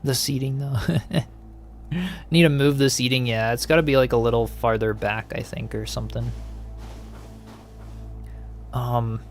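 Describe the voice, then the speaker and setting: A man's voice: medium pitch, fairly even intonation, unhurried, long pauses, faint music behind. A man casually chatting while playing a game and answering viewers, easygoing and musing, often trailing off. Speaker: game streamer. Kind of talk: casual gameplay chat, reading viewer comments.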